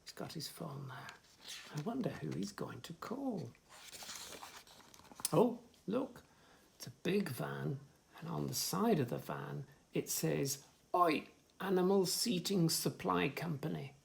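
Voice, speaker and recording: A man reads a picture book to children in a very expressive, swooping voice. He adds chatty asides for young listeners, with a noticeable creak in his tone. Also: a little high, slow pace, long pauses. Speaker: storyteller reading to children. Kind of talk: animated picture-book read-aloud.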